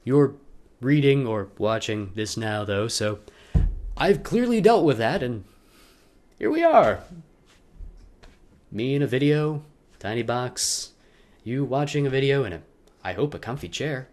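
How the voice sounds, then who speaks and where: A young adult man giving a loose dramatic reading of a personal letter, halting for emphasis, repeating phrases and dropping in wry asides. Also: mid-range voice, animated, darkish tone. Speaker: video letter narrator.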